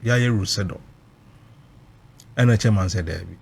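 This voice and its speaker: A man's voice: sits low, normal speed, creaky, a little mellow, slightly rough. A Ghanaian man recounting personal experience emotionally, mixing Twi and English, emphatic and dramatic, repeating phrases for weight.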